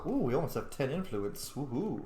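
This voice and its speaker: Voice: mid-range voice, big pitch swings, brisk, dark tone. A gamer giving casual, playful playthrough commentary, chatty and full of interjections. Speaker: gaming streamer. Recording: roomy, faint game ambience. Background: faint video game ambience.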